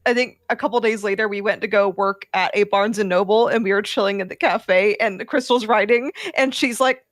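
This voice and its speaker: A mid-pitched speaker tells a casual story to fellow panelists. The wording is slangy and dramatic, and the sound is close and dry. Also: fairly even intonation, brisk, a bit bright, no echo. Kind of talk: casual anecdote in a group chat.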